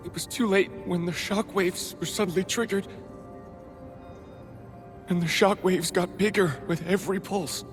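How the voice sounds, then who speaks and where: An actor playing an anguished, guilt-ridden rescue worker in a video game, speaking haltingly. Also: male voice, sits high, fairly even intonation. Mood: shaken. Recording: faint music behind the voice.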